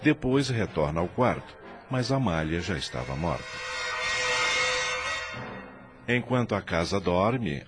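Deep-voiced guy narrating a radio play, lots of rise and fall, music behind. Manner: expressive, dramatic. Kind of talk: dramatic radio drama narration.

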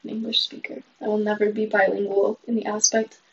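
Breathy, chatty teenage girl, lo-fi. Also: mid-range voice, little pitch movement, brisk. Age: teens. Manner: chatty, heavy use of "like", trails off softly. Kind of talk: casual chat. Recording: dull lo-fi sound.

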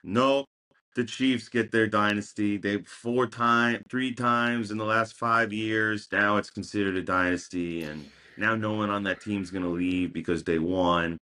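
A man's voice: fairly low pitch, fairly even intonation, measured pace, bright, forceful tone. A man co-hosting a podcast in casual sports banter, with punchy, slogan-like lines and repeated phrases. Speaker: podcast co-host.

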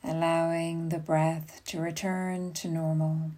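A woman leading a guided meditation as a yoga nidra guide, giving soothing, unhurried instructions with long pauses. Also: deep voice, little pitch movement, dark tone.

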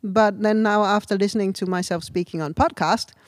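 A woman's voice: mid-range voice, fairly even intonation, a little fast, dry recording, clean studio sound. A woman with a light Danish accent, a native Danish speaker, co-hosting a podcast in casual, reflective chat. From Denmark. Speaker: podcast co-host.

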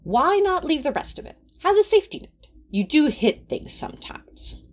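A woman's voice: sits high, big pitch swings, normal speed. A woman playing a fussy, placating, easily affronted male companion in a fan audio drama, prim and coaxing.

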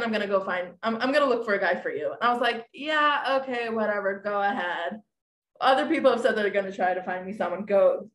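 A woman's voice: medium pitch, echoey room. A woman in her late twenties, a podcast guest telling a dating story in casual chat, acting out quoted lines, with lots of fillers. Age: 20s. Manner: animated, acts out quoted dialogue, many fillers.

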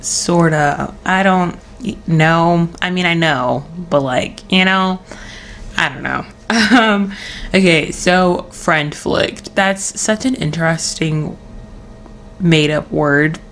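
A woman's voice: low voice, takes it slowly, fairly bright tone, a little hiss or hum. A young woman hosting her own podcast, chatting casually in a rambling, giggly way while losing her voice. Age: young adult. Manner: rambling, self-interrupting, giggly. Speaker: podcast host. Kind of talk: casual solo podcast chat. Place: at home, early morning.

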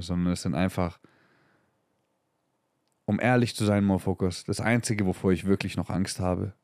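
Low-voiced man giving a fiery motivational talk. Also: darkish tone, no echo, clean studio sound. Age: 30s. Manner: emphatic and profane, mixing in English slang. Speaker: podcast host. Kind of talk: motivational podcast monologue.

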